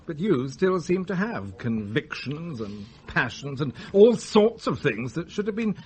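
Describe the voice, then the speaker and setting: An actor playing an exasperated, conventional English bank manager in a radio drama, indignant and terse. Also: male voice, a little high, big pitch swings, a little slow. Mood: resigned, retorting.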